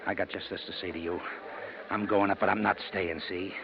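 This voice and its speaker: An actor playing a bitter, menacing convicted mobster, curt and slangy in 1940s radio crime style. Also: male voice, low-pitched, fairly even intonation, brisk, gravelly. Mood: bitter, threatening. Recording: muffled old radio recording.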